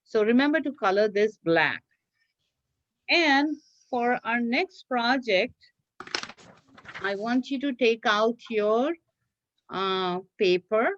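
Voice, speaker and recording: Female craft teacher for kids, unhurried and patient, repeats each step; dry, slightly dull lo-fi sound. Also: fairly high pitch, animated, fairly bright tone, no echo. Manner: patient, repetitive, checks with listeners. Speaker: teacher leading a children's craft class. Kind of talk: step-by-step craft instruction for children.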